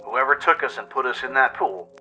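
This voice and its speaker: A man's voice: medium pitch, brisk, pushed and bright, soft background music, poor audio. A man voicing a wary, commanding male gunslinger pony in a radio play.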